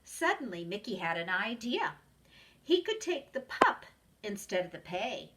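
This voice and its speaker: A woman reading a children's story aloud as a preschool teacher would, with expressive narration. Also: mid-range voice, unhurried, distant mic, very noisy.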